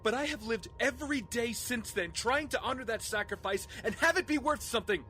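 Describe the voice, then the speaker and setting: A man's voice: extremely high pitch, animated, brisk, sharp tone, faint music behind, exaggerated voice. An actor playing an earnest, guilt-ridden young male hero in an audio drama, pleading emphatically. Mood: impassioned, pleading.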